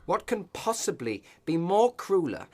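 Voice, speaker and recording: A man's voice: high-pitched, very animated, unhurried, a little mellow, slightly rough, heavily acted. A man playing a righteous, indignant clergyman in a radio play, speaking in formal, impassioned oratory. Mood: righteous anger.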